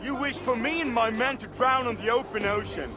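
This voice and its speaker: An actor playing a scornful, envious Viking rival in a video game cutscene, contemptuous and deliberate. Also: male voice, extremely high pitch. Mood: accusing. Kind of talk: dramatic game cutscene acting. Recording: music behind the voice.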